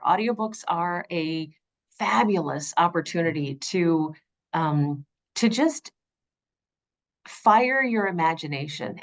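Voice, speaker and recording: A woman speaking as an audiobook narrator being interviewed, earnest and effusive, repeating phrases for emphasis. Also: fairly high pitch.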